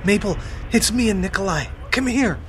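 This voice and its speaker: A man's voice: high voice, lively intonation, moderate pace, fairly bright tone, slight background noise. A man playing Monty, a paranoid, anxious young man who also narrates the story. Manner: jittery, anxious. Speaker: narrator and lead character. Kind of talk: amateur audio drama.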